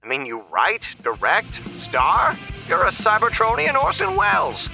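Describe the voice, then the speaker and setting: A man playing a brash, flattering Hollywood filmmaker in a comic dub, with showy salesman-like patter. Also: sits high, unhurried, vocal fry, faint music behind, exaggerated voice. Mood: flattering, pitching. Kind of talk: animated comic dub acting.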